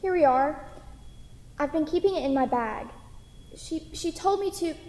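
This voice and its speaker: A woman's voice: sits high, measured pace, darkish tone. A woman playing a kind, hesitant restaurant waitress in a staged play reading, recorded in an echoey hall. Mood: hesitant, tender. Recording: echoey hall with long reverb.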